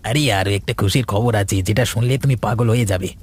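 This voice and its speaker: Cartoon role: male actor, gloating village husband, spiteful glee, rapid lowish delivery. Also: a little mellow, husky. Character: gleeful, malicious village husband, gloating busybody. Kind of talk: cartoon story dialogue.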